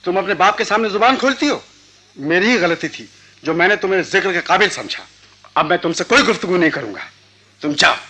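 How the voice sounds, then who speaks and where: A man's voice: high-pitched, lively intonation, fairly quick, bright, forceful tone, exaggerated voice. An actor playing a stern, domineering middle-aged father, imperious and scolding, in a Hindi radio drama. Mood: angry, commanding.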